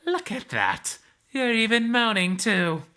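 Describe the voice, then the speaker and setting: Man playing a high-pitched, taunting hyena fighter. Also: sing-song, slow pace, pushed and bright, heavily acted. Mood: mock sweet. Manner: taunting, theatrical, sarcastic. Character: cocky, mocking, sultry hyena fighter, playful and menacing.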